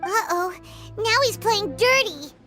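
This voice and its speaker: A little child in a video game, squeaky and extremely high. The delivery is excitable, with music clearly playing behind. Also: female voice, slow, full character voice. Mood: alarmed. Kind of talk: scripted video game voice acting.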